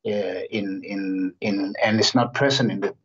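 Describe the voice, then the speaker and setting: Male guest from Denmark, low voice, hesitant and thoughtful delivery, lo-fi audio. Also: steady tone, a little slow, husky. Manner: thoughtful, hesitant, many restarts. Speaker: guest, human rights campaigner. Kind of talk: interview answers.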